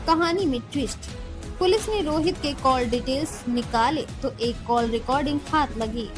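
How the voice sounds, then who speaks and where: A woman reads a scripted crime news story in a dramatic, emphatic narrator's style. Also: sits high. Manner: emphatic, dramatic delivery with rhetorical questions.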